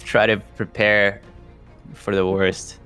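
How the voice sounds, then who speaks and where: A man chats as a player in a tabletop roleplaying game. His voice is deep in pitch yet bright and forceful, with faint music underneath. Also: level tone, slow, smooth. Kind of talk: casual chat.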